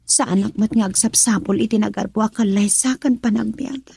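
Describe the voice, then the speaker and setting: A woman's voice: medium pitch, steady tone, brisk, vocal fry, dark tone, breathy edge, dry recording. A woman playing a frustrated young girlfriend in an Ilocano radio drama, emotional and pleading as she longs to marry.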